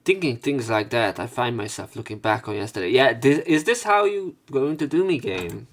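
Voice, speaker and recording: A man's voice: mid-range voice, animated, moderate pace. A man doing a casual let's play of a visual novel, reading the story aloud with rambling, conversational asides.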